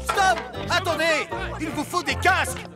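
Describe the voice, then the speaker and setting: A man's voice: extremely high pitch, measured pace, music in the background. A man voicing an eager, kindly young male tank engine in a children's cartoon, earnest and friendly. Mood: flustered.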